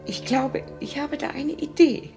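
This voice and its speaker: A woman's voice: sits high, steady tone, measured pace, vocal fry, a little mellow. A composed female employer in a radio play, with music behind her. She speaks formally and courteously, with businesslike authority. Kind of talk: German radio drama acting.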